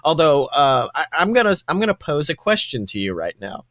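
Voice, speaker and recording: A man with a fairly high voice, recorded close and dry. He co-hosts a casual fan podcast, gushing with enthusiasm. He tends to ramble and talk in big superlatives. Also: big pitch swings, fairly quick, a bit bright, dry recording. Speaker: podcast co-host.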